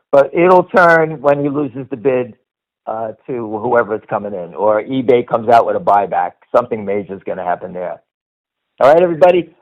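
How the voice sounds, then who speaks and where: A middle-aged American man hosting a stock-trading conference call with off-the-cuff commentary, blunt and emphatic, often repeating himself. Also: medium pitch. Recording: dull lo-fi conference-call audio.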